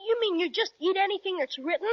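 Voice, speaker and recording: A woman's voice: very high voice, expressive, quick pace, no echo. An actress playing a plucky, combative, plain-spoken little boy in an old-time children's radio serial. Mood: puzzled. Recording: dull, lo-fi old radio recording.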